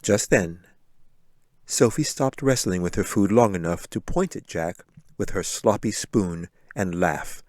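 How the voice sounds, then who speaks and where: A man's voice: low-pitched, lively intonation, a little slow, darkish tone, dry recording, clean studio sound. A man reading a fantasy audiobook as a scripted story narration. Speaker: author reading own audiobook.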